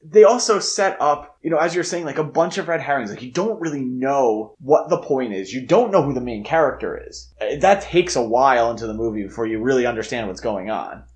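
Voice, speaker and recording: Male podcast co-host, average pitch, casual film chat, loose conversational phrasing full of filler words. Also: lively intonation, a little fast, hardly any pauses, a little mellow. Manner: informal, conversational, filler-heavy with frequent "like".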